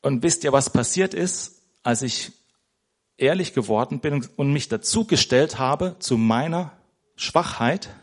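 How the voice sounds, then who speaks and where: A man's voice: mid-range voice, expressive, unhurried. Man giving a chatty, meandering sermon. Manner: conversational, tags nearly every sentence with "ne?"; searching for words, restarting sentences. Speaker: lay preacher. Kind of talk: informal sermon. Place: church.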